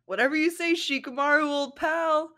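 A woman voicing an excitable, whiny young male ninja in an overdramatic, exclaiming way for a fan fiction reading. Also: sits high, moderate pace, pitched above the performer's own voice. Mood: cheerful.

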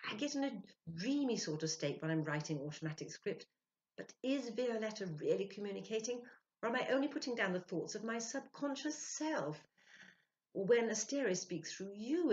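A shrewd, doubtful lady medium, played by an older woman storyteller, puts her questions in lively, swooping tones. She sounds thrifty and sceptical, in a storybook reading with slightly dull home sound. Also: mid-range voice, moderate pace, pitched above the performer's own voice, close to an everyday voice.

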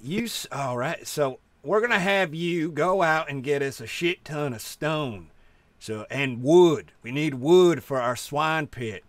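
A man's voice: on the high side, lively intonation, unhurried, no room sound. A man roleplaying a bossy, profane rural brother who hollers orders in a comedic game session. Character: loud bossy rural swamp brother, menacing.

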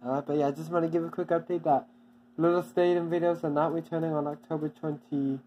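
A channel owner giving a casual, off-the-cuff update, halting and repeating itself often with many restarts. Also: mid-range voice, level tone, dull audio, a little hiss or hum.

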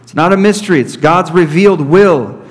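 A man in his thirties, a pastor preaching an expository sermon from a pulpit, teaching deliberately and repeating his key points. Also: a little high. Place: church sanctuary at a pulpit. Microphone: fixed camera at middle distance. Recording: reverberant church hall.

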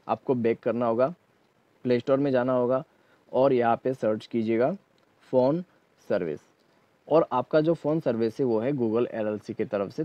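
A man's voice: medium pitch, no echo. Man giving a dull-sounding tech tutorial. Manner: instructional, repeatedly checking in with "okay". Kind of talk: spoken step-by-step phone tutorial.

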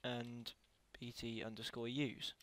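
A man giving a casual software tutorial as a voice-over, talking haltingly and correcting himself as he goes. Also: mid-range voice, completely flat delivery. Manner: halting, self-correcting while typing. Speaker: tutorial creator.

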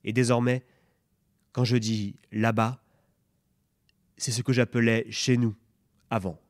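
A man in his thirties calmly reading a first-person literary passage aloud into a stand mic. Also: medium pitch, takes it slowly, dry recording. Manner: calm, even recitation. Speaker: reader.

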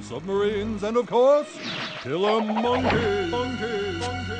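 High-voiced evil ad announcer. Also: male voice. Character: gleefully evil mad-professor company advert announcer. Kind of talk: parody commercial.

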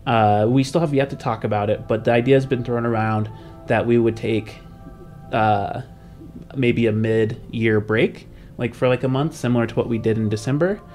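Young man, game-podcast host, middle register, loose conversational delivery with halting asides, music underneath. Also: medium pitch, steady tone, a little mellow. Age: young adult. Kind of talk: casual podcast chat.

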